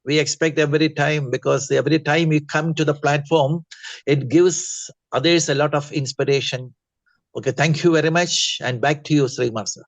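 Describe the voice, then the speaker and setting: A man's voice: on the high side, steady tone, moderate pace, no echo. A man with an Indian accent giving a congratulatory, exhorting feedback speech as a trainer on an online call. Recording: muffled online meeting audio.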